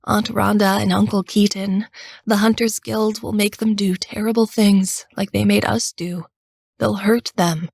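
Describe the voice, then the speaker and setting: A woman playing a frightened teenage girl, whispering softly and low in the dark. The read is close and dry, like a storybook at bedtime. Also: level tone, measured pace, gravelly, husky, no room sound. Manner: quiet, whispering. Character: scared teenage girl, tired and tearful.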